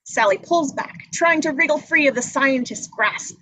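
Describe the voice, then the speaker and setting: A woman's voice: high voice, normal speed, bright, forceful tone. A woman reading scripted action descriptions as narrator at a live table read.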